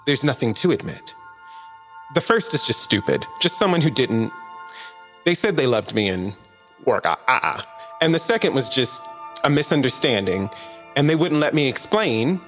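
An animated, swooping voice of a cocky young male space traveller played by an actor, easily flustered and defiant. He stammers, exclaims and curses his way through a sci-fi audio drama, with music playing behind him. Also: mid-range voice, normal speed, long pauses. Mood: defiant, defensive. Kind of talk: scripted audio drama acting.

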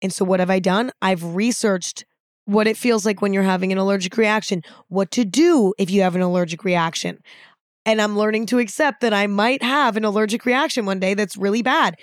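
A young woman in her twenties with a raspy voice, talking frankly and casually on her own podcast, with some swearing. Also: mid-range voice, fairly quick, no room sound, clean studio sound. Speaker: podcast host. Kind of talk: candid solo podcast talk.

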